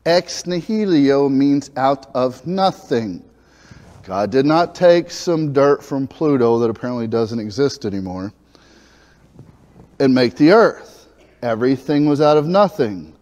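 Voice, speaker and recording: A man, preaching a lively sermon in a church sanctuary on a lapel mic, acting out scenes as he moves about. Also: medium pitch, measured pace, lo-fi sound. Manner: animated preaching, acts out biblical dialogue, moves about the stage. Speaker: pastor. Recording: reverberant church hall.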